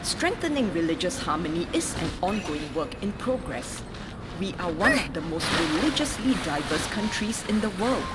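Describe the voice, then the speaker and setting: A woman with a Singaporean accent delivers a formal, measured, prepared speech as a member of parliament. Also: on the low side. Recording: music playing over the speech. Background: music from a video game.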